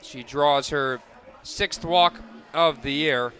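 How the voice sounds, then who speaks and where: A man's voice: fairly high pitch, takes it slowly, a bit bright. A man doing radio sports commentary as a play-by-play announcer.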